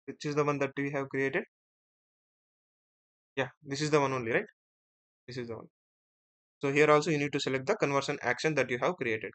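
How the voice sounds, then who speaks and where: A man teaching as an instructor in a screen-share software tutorial, explaining step by step and often checking in with short tag words. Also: medium pitch. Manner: step-by-step, often ending phrases with "right?" and "okay".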